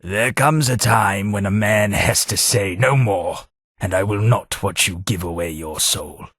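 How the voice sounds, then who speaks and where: A man voicing a genteel, radiation-scarred London gentleman in a video game, formal and dryly witty with old-fashioned diction. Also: lowish voice, a little slow, husky, dry recording, clean studio sound. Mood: sorrowful. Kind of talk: video game character dialogue.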